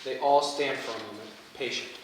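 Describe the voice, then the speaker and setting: A man's voice: medium pitch, fairly quick. A man narrates a play script, reading out settings and stage directions. He is recorded at a distance in a very reverberant room, with faint noise behind him.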